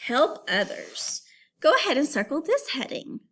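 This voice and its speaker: Lively, higher-pitched woman teaching children. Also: a little slow. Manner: instructive, clearly phrased, addressing young pupils. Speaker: elementary school teacher. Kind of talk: read-aloud reading lesson for children.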